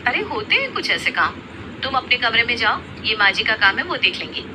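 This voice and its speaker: A woman playing a restrained, modest elder sister-in-law from a poor family in a moral story, plain-spoken and measured. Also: fairly high pitch, very animated, noisy background. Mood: curt. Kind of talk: Hindi moral story acting.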